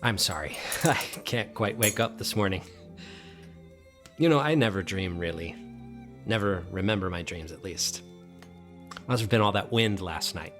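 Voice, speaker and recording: An actor playing a haunted, anxious guest in a supernatural audio drama, speaking in halting, short phrases with a dramatic score behind him. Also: male voice, low-pitched, expressive, over music. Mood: shaken, polite. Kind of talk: first-person audio drama narration with dialogue.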